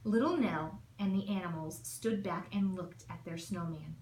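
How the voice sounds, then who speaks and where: A woman's voice: fairly low pitch, moderate pace, a little mellow. A woman narrating a children's storybook with emphatic, rhythmic storytelling. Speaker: assistant principal reading aloud. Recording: echoey room with faint noise.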